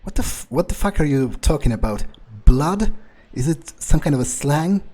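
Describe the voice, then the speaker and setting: A man improvising in character as a newly turned vampire in a game, bewildered, irritable and foul-mouthed, muttering in halting, incredulous repetitions with a husky voice. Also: a little high, animated, warm tone, faint music behind. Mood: incredulous, annoyed.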